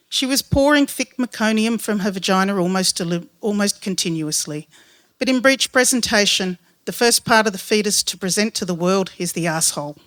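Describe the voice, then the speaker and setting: An Australian woman tells a written true story in a measured, descriptive way. Her pitch sits in the middle for a woman. The sound is close and dry, with little sense of a room. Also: dry recording. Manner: measured, literary, descriptive delivery.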